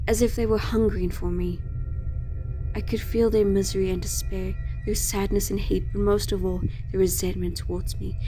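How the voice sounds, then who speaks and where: A woman's voice: mid-range voice, warm tone. A woman reading a scripted first-person horror story in a sombre, controlled way.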